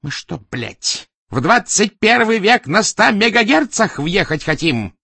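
A man's voice: a little high, sing-song, moderate pace, creaky, fairly bright tone, slightly rough, no echo, pitched above the performer's own voice, heavily acted. A man reading an audiobook as a furious, domineering media boss who barks out menacing questions. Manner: barking, interrogating, menacing.

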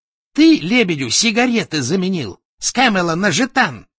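A man's voice: fairly high pitch, sing-song, normal speed, gravelly, a bit bright, slightly rough, dry recording, voice pushed up, exaggerated voice. A man reading an audiobook as a furious, domineering media boss who barks out menacing questions. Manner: barking, interrogating, menacing.

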